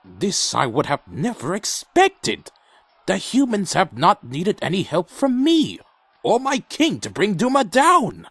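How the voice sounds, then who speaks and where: A man acting a defiant, intense vampire warrior who narrates his tale gravely and theatrically in a fan audio drama. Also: sits high, wildly swooping pitch, measured pace, fairly bright tone, dry recording, heavily acted. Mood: grave narration. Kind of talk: dramatic first-person narration and acted dialogue.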